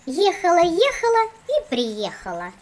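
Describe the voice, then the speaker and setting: A woman's voice: very high-pitched, wildly swooping pitch, unhurried, slight background noise, exaggerated voice. A woman voicing a fussy, boastful little doll girl in a children's cartoon, theatrical and condescending. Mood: haughty.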